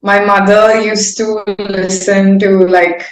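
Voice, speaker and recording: A woman's voice: medium pitch, level tone, takes it slowly. A woman, talking candidly and effusively in a casual, informal interview style. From India. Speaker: singer-songwriter guest. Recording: roomy, echoing sound.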